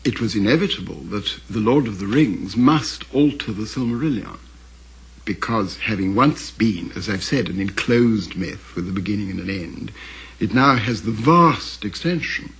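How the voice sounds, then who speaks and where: An older man, speaking in a halting, deliberate, scholarly way as he reflects in an interview on his father's work. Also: lowish voice, animated, fairly bright tone, husky, rough recording. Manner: halting, deliberate, scholarly, with repeated self-corrections. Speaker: interviewee, author's son recalling his father.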